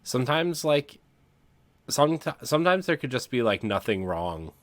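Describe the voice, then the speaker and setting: A man talking casually and candidly as a podcast co-host, with a halting, hedging delivery. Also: medium pitch, very animated, no room sound.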